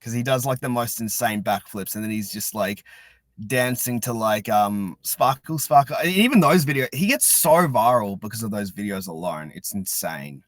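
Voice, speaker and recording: A man's voice: lowish voice, lively intonation, moderate pace, dry recording, clean studio sound. A man hosting a casual podcast chat, rambling and often repeating and restarting his phrases. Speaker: podcast host.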